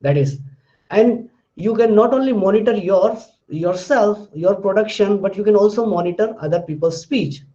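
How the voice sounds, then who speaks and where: High-voiced Indian man lecturing methodically. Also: moderate pace, warm tone, highs cut off. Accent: Indian English. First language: Hindi. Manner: methodical, recaps terms, tags points with "fine". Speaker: linguistics lecturer. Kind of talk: classroom lecture.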